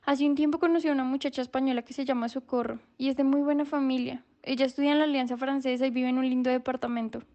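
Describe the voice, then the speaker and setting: Woman acting a high-voiced, troubled confidante. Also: fairly even intonation, fast, hardly any pauses, darkish tone, highs cut off. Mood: confiding, troubled. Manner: confiding, gossipy storytelling. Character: troubled young woman confiding about her vagrant brother. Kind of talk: radio drama narrative monologue.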